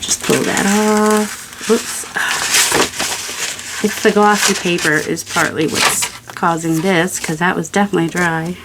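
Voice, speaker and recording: A woman's voice: fairly low pitch, steady tone, measured pace, very noisy. A woman hosting a craft video, chatting casually and rambling to herself with playful self-scolding while she works, over clicks and crinkling paper.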